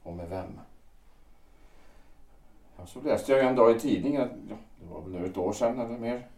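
An actor playing a lovelorn, brooding man who speaks in hesitant, halting, earnest phrases, heard from a distance in an echoey room. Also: medium pitch, a little mellow, close to an everyday voice. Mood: longing. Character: brooding, lovelorn middle-aged male wanderer.

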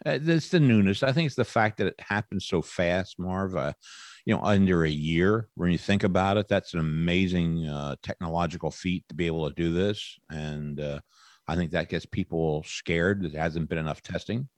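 A folksy man in his sixties talks as a guest on a podcast, rambling along in an upbeat, casual way. His voice sits on the low side, and the sound is dry and close. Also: moderate pace, no room sound. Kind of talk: casual chat.

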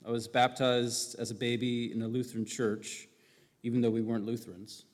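A man from Hawaii tells his faith journey to a congregation in an earnest, measured way, pausing on small fillers. His mid-pitched voice rings out with the long reverb of a large church hall. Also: little pitch movement. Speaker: new church member candidate. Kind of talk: personal faith testimony. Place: church sanctuary.

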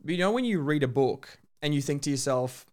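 A man's voice: a little high, expressive, brisk, a little mellow. A man hosting a podcast, giving effusive, admiring introductions and interviewing a guest by phone. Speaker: podcast host.

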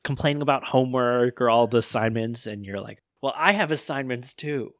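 A man's voice: mid-range voice, brisk, a bit bright, dry recording, studio quality. A man co-hosting a podcast in a casual, conversational style. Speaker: podcast host. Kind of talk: casual chat.

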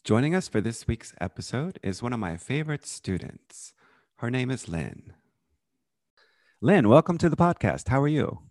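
A man's voice: mid-range voice, sing-song, normal speed, darkish tone, dry recording, studio quality. A man hosting a podcast interview, asking his student friendly, encouraging questions. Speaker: podcast host, English teacher.

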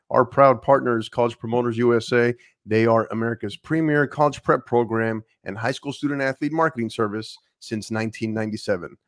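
A man hosts a podcast, energetic and a bit rambling, with plenty of fillers. His voice sits at a middle pitch and is recorded very dry, with no room sound. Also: no room sound. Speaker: podcast host. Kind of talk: casual interview chat.

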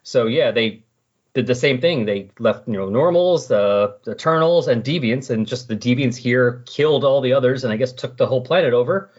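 A man talking casually and loosely on a comics podcast, thinking aloud as he explains, with a slightly husky voice. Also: mid-range voice, moderate pace, a little mellow. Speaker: podcast co-host discussing comics. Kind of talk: casual, rambling comics discussion. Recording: dull lo-fi voice recording.

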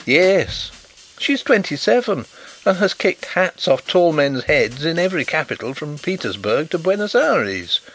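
A man playing a suave gentleman detective, confident and dryly courteous, with a lively rise and fall in his phrasing. The delivery is measured, with faint music in the background. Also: a little high, voice pushed up, full character voice, low-quality recording. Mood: assured.

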